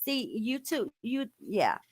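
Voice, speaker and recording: A woman hosting a stream in casual chat, with an informal, halting way of talking. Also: fairly high pitch, wildly swooping pitch, no echo, studio quality. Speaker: host of a true-crime stream.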